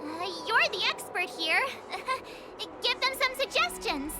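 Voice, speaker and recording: Very high-pitched bubbly girl. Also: unhurried, heavily acted. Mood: excited. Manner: perky, exclaiming, expressive. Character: bubbly, chatty teenage girl traveler. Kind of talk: video game character dialogue. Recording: music behind the voice.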